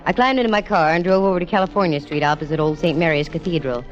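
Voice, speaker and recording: A woman's voice: fairly low pitch, racing, faint music behind, close to an everyday voice. An actress playing a wry, flirtatious female private detective with an American accent, breezy and teasing in 1940s radio style. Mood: cool narrating. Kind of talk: 1940s radio acting and narration. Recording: muffled old radio recording.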